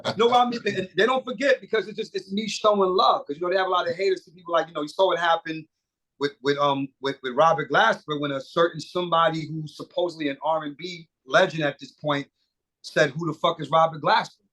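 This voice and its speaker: A middle-aged man, a singer and producer, chatting casually as an interview guest, animated and swearing freely with many fillers and restarts. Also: on the high side, fast talker, poor audio.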